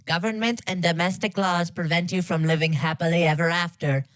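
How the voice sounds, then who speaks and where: A woman's voice: on the low side, fairly even intonation, fairly quick, dry recording, natural voice. A woman voicing a parody of a polite, menacing female AI from a video game, with formal, detached, robotic delivery. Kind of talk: scripted parody of game announcements.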